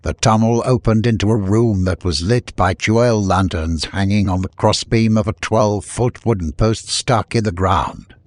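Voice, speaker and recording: A man's voice: lowish voice, normal speed, hardly any pauses, no echo, clean studio sound. A man reading scripted fiction as a narrator, in dramatic audiobook style.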